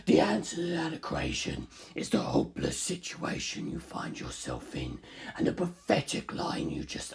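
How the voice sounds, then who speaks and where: A narrator voicing an arrogant, ancient superhuman male villain in a story, with a slow, gravelly, scornful delivery. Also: a little mellow, exaggerated voice. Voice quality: very creaky, gravelly low rasp, breathy. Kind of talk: dramatic audiobook character acting.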